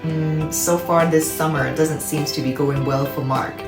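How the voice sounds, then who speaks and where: A woman's voice: low-pitched, fairly even intonation, a little slow. A woman hosting a children's read-aloud, explaining words and putting questions to the listener as she narrates. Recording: roomy echo, faint music behind.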